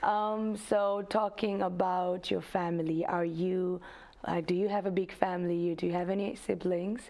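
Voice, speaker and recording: A woman's voice: fairly low pitch, flat delivery, normal speed, warm tone. A woman from Nepal, hosting a radio interview in a chatty way, with fillers and laughs. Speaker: radio host. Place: radio studio.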